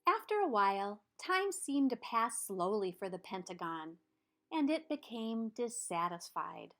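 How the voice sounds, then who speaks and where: Slow, animated woman reading a storybook. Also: medium pitch. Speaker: storybook reader. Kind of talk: expressive children's read-aloud narration.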